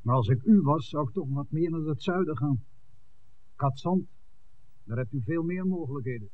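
An actor playing a middle-aged, guarded village innkeeper, hesitant and grudging, in a 1960s Dutch radio drama. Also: male voice, on the high side, animated, normal speed. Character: guarded, discouraging village innkeeper, father of grown daughter. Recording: tinny, narrow old radio recording.

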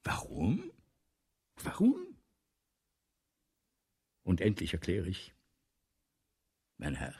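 An actor playing a vain, obsessive writer in a radio play, declaiming haltingly and repeating himself, often sinking into a breathy whisper. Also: male voice, low voice, wildly swooping pitch, darkish tone, full character voice. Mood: grandiose, insistent. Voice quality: husky, gravelly, often breaking into breathy whisper. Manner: declamatory, halting, self-correcting, repeating phrases. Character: obsessive, vain male writer Balzac, rambling and forgetful. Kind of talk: theatrical German radio play monologue.

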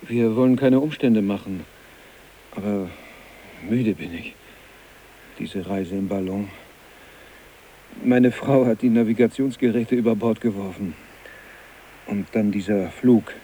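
An actor playing a brooding, restless and weary husband in a radio drama, with an understated manner. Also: male voice, lowish voice, a little slow, pauses often, warm tone, slight background noise, lightly acted, rough recording. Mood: weary relief.

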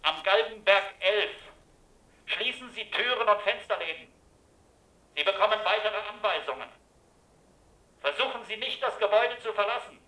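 An actor playing a stern health service officer in a radio drama, giving curt, official commands. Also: male voice, sits high, animated, moderate pace, long pauses. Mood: commanding, cold. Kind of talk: German radio drama announcement. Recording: sharp, echoing radio drama announcement.

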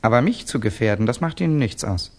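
An actor in a 1960s German radio drama playing a young Russian student, a proud and fearful loner, intense and rhetorical. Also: male voice, medium pitch, expressive, quick pace. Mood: tense, bitter.

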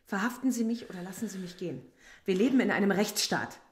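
An actress playing a philosophy professor in a radio play, insistent and defiant under police questioning. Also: female voice, medium pitch, fast talker, breathy edge. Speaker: detainee.

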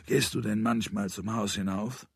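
Reader as a calm, stern, probing man. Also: lowish voice, husky, no echo, studio quality. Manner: quiet, measured questioning. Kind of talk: audiobook character voice.

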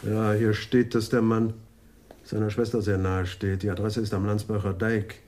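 A man's voice: on the low side, level tone, normal speed, darkish tone, close to an everyday voice. A male actor playing a dry, sardonic veteran police adjutant in a German radio crime drama. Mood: wry. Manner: wry, ironic, laid-back.

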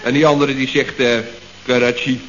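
An actor playing a glib employee who justifies himself and tells anecdotes in a 1960s radio comedy. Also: male voice, mid-range voice, flat delivery, normal speed, natural voice. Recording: dull old radio recording with faint noise.

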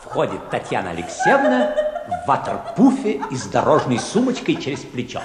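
A man's voice: mid-range voice, wildly swooping pitch, moderate pace. A man narrating scripted stage directions for a radio play. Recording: echoey hall, clear background noise.

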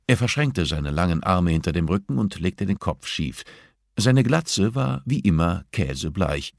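Male audiobook narrator, low register, scripted fiction reading, dry studio sound. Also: creaky, no echo.

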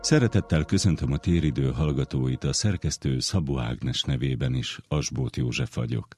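A man's voice: rumbling low, music in the background. A man hosting a radio science program, reading scripted narration. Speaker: radio science show host.

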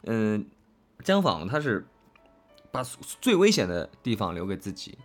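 Animated, effusive man chatting over faint music. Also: on the low side, measured pace. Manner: effusive, rambling, repeats himself for emphasis. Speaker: voice actor co-hosting a fan talk. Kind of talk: casual chat about an audio drama.

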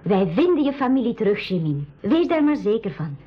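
A woman's voice: on the high side, sing-song, brisk, husky. A woman playing a caring, resolute young girl in a school radio play, speaking earnestly. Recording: dull lo-fi 1980s radio recording.

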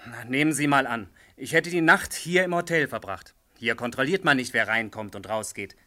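A young male medical student from a radio play. His voice is forceful and sharp at medium pitch, and he comes across as touchy, hesitant and on the defensive. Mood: relieved, uneasy. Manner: touchy, hesitant, quick to bristle. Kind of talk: German radio play acting.

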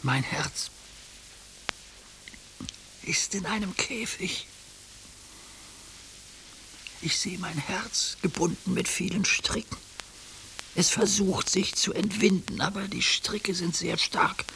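Anguished old judge in a radio drama, really slow n halting, hissy tape. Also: male voice, sits high, gravelly, full character voice, poor audio. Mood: anguished, pleading. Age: older. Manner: halting, confessional, often hushed. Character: anguished ageing male judge voicing his neglected heart. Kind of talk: German radio play acting, intimate monologue. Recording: hissy radio play recording.

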